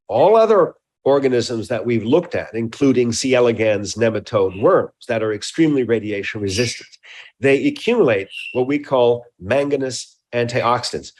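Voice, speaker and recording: Middle-aged or older man, scientist guest, medium-pitched voice, deliberate technical explanation, precise terms. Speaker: research scientist interviewed as a guest.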